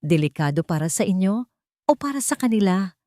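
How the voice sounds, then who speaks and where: A woman's voice: medium pitch, expressive, fairly quick, dark tone, no echo, clean studio sound, natural voice. A woman with a Filipino accent voicing an earnest, insistent male lawyer who protects his sister in a story. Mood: urgent insistent.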